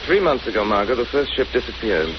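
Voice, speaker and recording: An actor playing a grave, assured gentleman detective in a 1930s radio drama. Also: mid-range voice, big pitch swings, fast, lightly acted. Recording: muffled, hissy 1930s radio recording.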